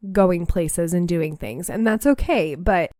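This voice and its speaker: A woman's voice: fairly low pitch, lively intonation, measured pace, darkish tone, no echo, clean studio sound. A young woman hosting a podcast, chatting casually and reading aloud, slightly nasal, laughing easily. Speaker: podcast host.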